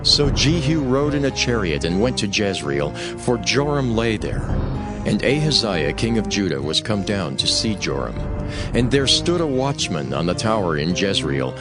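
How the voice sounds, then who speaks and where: Male scripture narrator, solemn and dramatic, lowish register, set over background music. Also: moderate pace. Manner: solemn, expressive storytelling.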